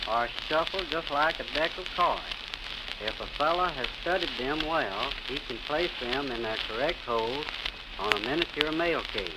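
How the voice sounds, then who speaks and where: An American man giving plain, measured, scripted answers as a guest on an old radio interview. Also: a little high. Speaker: postal clerk interview guest. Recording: scratchy, hissing old 78 rpm radio disc.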